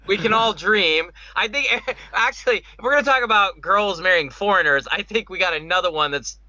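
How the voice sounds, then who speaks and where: A man co-hosts a casual group podcast with a very bright, sharp voice that sits a little high. He rambles and jokes in an animated, slangy manner. The audio is dry and slightly dull. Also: brisk, no room sound. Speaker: podcast co-host.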